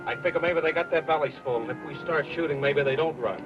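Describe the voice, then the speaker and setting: An actor playing a level-headed, cautious frontier scout in a radio western. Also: male voice, on the high side, fast talker, lightly acted. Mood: cautious, reasoning. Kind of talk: old-time radio western acting. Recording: dull old radio recording, music behind.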